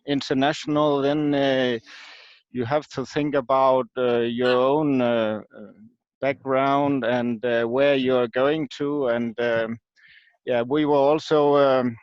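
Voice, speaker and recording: A man from Denmark with a Danish accent, explaining as an expert interview guest in a halting, hesitant way with frequent ums and pauses. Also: medium pitch, fairly even intonation, smooth, no room sound. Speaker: guest expert, marketing professor. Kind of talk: unscripted explanation in an online interview. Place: video call. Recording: dull lo-fi online call audio.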